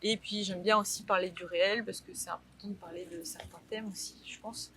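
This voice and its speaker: A woman's voice: mid-range voice, steady tone, a little fast, fairly bright tone, a little hiss or hum. A young woman from Brittany, a painter, talking casually in an interview with many fillers and restarts.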